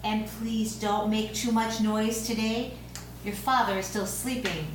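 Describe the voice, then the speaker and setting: A woman's voice: mid-range voice, fairly even intonation, unhurried, natural voice. Woman as a stern mother, very echoey. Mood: firm, impatient. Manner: firm, scolding. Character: stern, fed-up mother of a young boy. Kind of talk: amateur script table read. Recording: very echoey room, distant mic, hiss.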